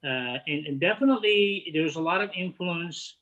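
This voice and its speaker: A man in his fifties from Turkey, speaking with a Turkish accent, answering interview questions in halting speech full of "uh" fillers and restarts. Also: a little high, fairly bright tone, rough recording. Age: 50s. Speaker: guest, head of catering. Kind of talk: casual interview chat.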